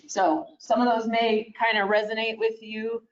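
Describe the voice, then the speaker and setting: Woman clinician presenting to a group, relaxed and informal, dull roomy recording. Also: fairly high pitch, moderate pace. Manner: casual and explanatory, many "kind of" fillers. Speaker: speech-language pathologist. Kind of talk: educational presentation. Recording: roomy, distant, dull sound.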